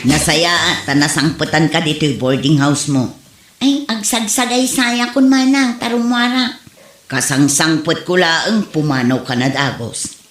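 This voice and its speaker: A woman's voice: low voice, fairly even intonation, a little slow, a bit bright, reverberant, faint music behind. A woman acting a nagging, complaining wife who is short of money in an Ilocano comedy drama.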